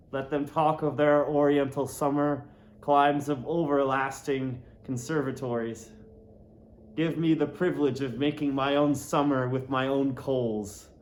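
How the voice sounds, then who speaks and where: Slow, theatrical male reader, higher-pitched voice. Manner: deliberate, dramatic, lingers on and repeats words. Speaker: audiobook reader. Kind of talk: literary narration, theatrical reading of a classic novel.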